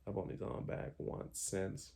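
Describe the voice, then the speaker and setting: A man's voice: unhurried, mellow. A young man from Haiti telling his own life story in a candid, reflective way, with many ums and restarts. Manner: frequent ums, candid, self-correcting.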